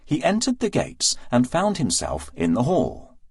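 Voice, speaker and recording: A man narrating a scripted fairy tale for children with an expressive, deliberate storytelling delivery. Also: mid-range voice, slightly rough, dry recording, clean studio sound.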